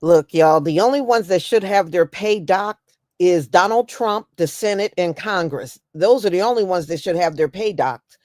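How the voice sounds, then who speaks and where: A woman's voice: on the low side, moderate pace, a little mellow, no room sound, clean studio sound. A woman with an American accent giving casual, emphatic, folksy opinion commentary as a live stream host.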